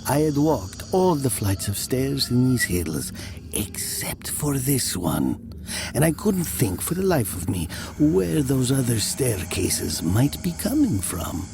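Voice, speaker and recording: Male story narrator, low creaky voice, slow hushed delivery, expressive intonation, ambient noise behind. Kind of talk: dramatic first-person horror narration.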